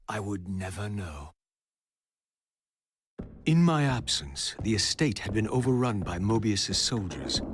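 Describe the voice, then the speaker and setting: A man playing a bitter, brooding undead wraith in a video game, speaking gravely and formally with deliberate, theatrical delivery. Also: fairly low pitch, dry recording, clean studio sound. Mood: grim.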